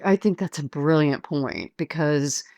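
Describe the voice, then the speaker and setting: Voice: medium pitch, unhurried, a little mellow, dry recording, clean studio sound. A podcast host who reflects on and sums up the guest's points in an interview. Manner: summarizing, reflective, affirming. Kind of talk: interview conversation.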